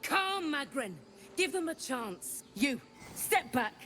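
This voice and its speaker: A woman playing a gruff, hostile camp guard in a fantasy game, curt and commanding. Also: high-pitched, big pitch swings, slow, bright, forceful tone, soft background music, exaggerated voice. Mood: stern, grudging.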